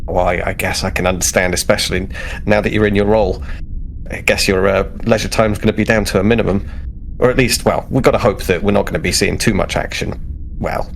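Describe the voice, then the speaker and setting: Man playing an awkward, creaky young security officer. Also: deep voice, fairly even intonation, brisk, slight background noise. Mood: earnest. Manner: hesitant, awkward, rambling. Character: eager, flustered young male starship security officer.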